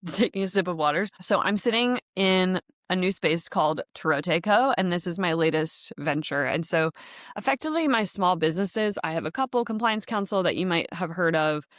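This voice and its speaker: Woman, Dutch native, podcast host, low voice, dry close studio sound, casual rambling monologue. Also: fairly bright tone, no echo. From United States. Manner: chatty, rambling, filler-heavy with frequent light laughs. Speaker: podcast host and startup founder. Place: podcast studio in an office space.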